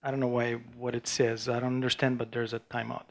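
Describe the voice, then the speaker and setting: Guy doing a tech demo at a conference, gravelly, keeps restarting sentences. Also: medium pitch, level tone. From Bulgaria. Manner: halting, frequent restarts and fillers while demoing. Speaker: conference speaker. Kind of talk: technical conference talk with live demo. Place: conference room before an audience.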